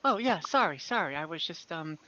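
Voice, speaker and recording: A sheepish older woman shopkeeper played by a man in a tabletop fantasy game, friendly and bright-toned. The speech is hesitant and repeats itself, over slightly dull online audio. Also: on the high side, lively intonation, a little fast. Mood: flustered, sheepish.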